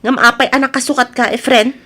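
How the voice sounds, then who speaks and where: Suspicious wife, played by a woman: Ilocano accent, nagging and probing, clearly high voice, radio-drama acting. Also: little pitch movement, fast, pushed and bright. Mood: suspicious, questioning. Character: nagging suspicious wife questioning her husband.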